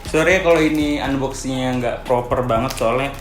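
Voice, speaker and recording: A young man with a Jakarta Indonesian accent chatting casually and excitably as he hosts an unboxing vlog. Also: medium pitch, a little fast. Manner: excitable, colloquial. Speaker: vlog host. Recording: echoey room with background music.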